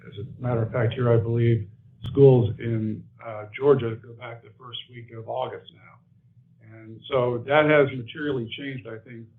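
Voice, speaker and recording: A man's voice: medium pitch, fairly even intonation, unhurried. A male airline executive presenting and discussing company results in a businesslike way. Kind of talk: corporate earnings presentation and answers. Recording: narrow muffled conference-call phone audio.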